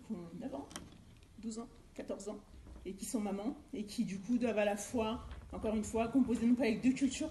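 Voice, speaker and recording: A woman's voice: mid-range voice, mellow. A middle-aged woman from France gives a personal presentation at a seminar in a conversational, storytelling manner. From France, Eure-et-Loir. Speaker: life coach and former youth educator. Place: indoor seminar room. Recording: roomy echo with faint background noise.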